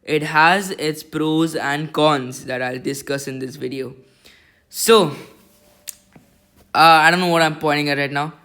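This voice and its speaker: Squeaky-voiced guy rambling thru a telescope review on his laptop mic, kinda high, clean sound. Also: steady tone, measured pace, pauses often, bright, forceful tone, smooth. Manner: rambling, self-conscious. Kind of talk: casual voice-over product review.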